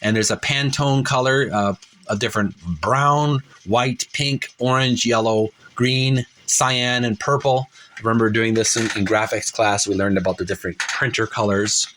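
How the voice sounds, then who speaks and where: A man hosting a hobby show, describing illustrations in a casual, unscripted way, halting and rambling as he searches for words. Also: medium pitch, steady tone, fairly bright tone, slight background noise. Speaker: host of a retro video game art book show.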